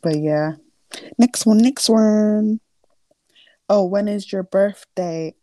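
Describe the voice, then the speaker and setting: A woman's voice: mid-range voice, mellow, no echo. A young woman in her early twenties, from Nigeria, co-hosting a casual podcast chat with a playful, joking manner. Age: early 20s. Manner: playful, joking, quick asides. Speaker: podcast co-host.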